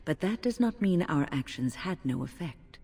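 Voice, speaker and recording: An actress voicing a wise, composed female companion in a fantasy video game, speaking in a measured, formal, counselling way. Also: low voice, animated, warm tone, husky, long reverb tail, exaggerated voice. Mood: gently counselling.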